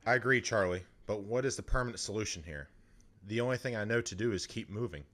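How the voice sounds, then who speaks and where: A man's voice: fairly low pitch, fast, fairly bright tone, natural voice. A man playing the stern, firm leader of a survivor group in an audio drama, arguing emphatically. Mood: stern, resigned.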